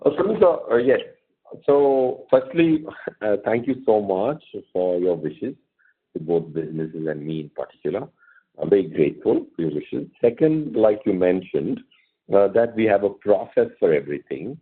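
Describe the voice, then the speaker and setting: A man's voice: on the low side, animated, moderate pace. A courteous man with an Indian accent, answering investor questions as a company executive on an earnings call, explaining off the cuff and repeating words for emphasis. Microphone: conference call line. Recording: dull lo-fi conference call line.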